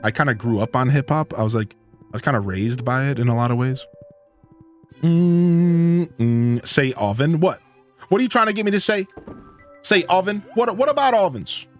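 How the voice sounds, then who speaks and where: A man's voice: fairly high pitch, moderate pace, soft background music. A man from Ohio with a Midwestern twang, chatting casually with live-stream viewers in a rambling, laid-back way. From Northeast Ohio, USA.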